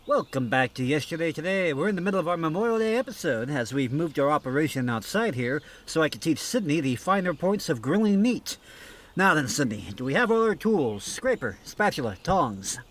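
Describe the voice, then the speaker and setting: Pompous grill-master host for comedy radio skit, played by a man: highish, very animated, exasperated instructor. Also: brisk. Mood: upbeat, instructive. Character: pompous, stubborn male backyard grill master. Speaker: show host. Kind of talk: scripted comedy skit in old-time radio show.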